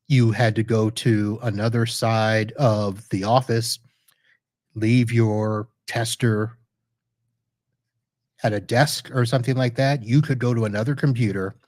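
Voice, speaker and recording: A man with a lowish voice talks through a live screen-share demo at his computer, chatty and loose, often correcting himself mid-sentence. The sound is dry and close, with no room echo. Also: a little mellow. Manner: chatty, self-correcting, thinks aloud while clicking. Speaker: podcast host reviewing network gear.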